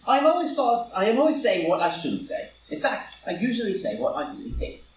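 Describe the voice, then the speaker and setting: A man playing a witty, flippant gentleman friend in a stage drama reading, arch and theatrical, candid and quietly judging. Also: very high-pitched, big pitch swings, a little fast, background noise. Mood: mock dismay. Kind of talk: live group play reading, stage drama. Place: indoor room, live in-person group reading. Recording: roomy live recording, distant from mic.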